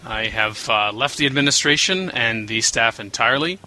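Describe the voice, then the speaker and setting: Chatty man with a very bright voice. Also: medium pitch, animated, normal speed, rough recording. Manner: chatty, joking, explains at length. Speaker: guest co-host. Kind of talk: casual podcast chat.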